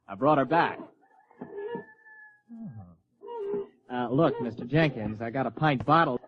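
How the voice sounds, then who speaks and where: An actor playing an irritable, educated university student with an American accent and a testy manner in an audio drama. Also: male voice, medium pitch, normal speed, muffled recording, close to an everyday voice. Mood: flustered. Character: exasperated, irritable male university graduate student.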